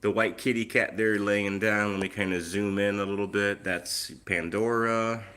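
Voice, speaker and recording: A man's voice: lowish voice, fairly even intonation, moderate pace, fairly bright tone. A man hosting a casual live stream on his phone, chatty and easygoing, with a lingering cough that leaves his voice a little off. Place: outdoors, then indoors at home.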